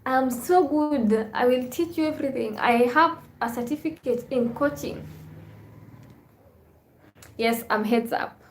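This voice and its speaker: A woman's voice: sits high, unhurried, long pauses, echoey room, a little hiss or hum. A woman hosting a live vlog stream, chatting casually with viewers, reading their comments and thanking them often.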